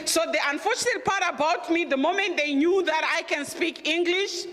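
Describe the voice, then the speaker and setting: A middle-aged woman from Zimbabwe with a Zimbabwean accent and a deep voice, telling her own story as a guest presenter in a candid, humorous and emphatic way. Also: moderate pace, a bit bright, slight background noise. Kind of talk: personal storytelling talk. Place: lecture room. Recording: echoey room with long reverb.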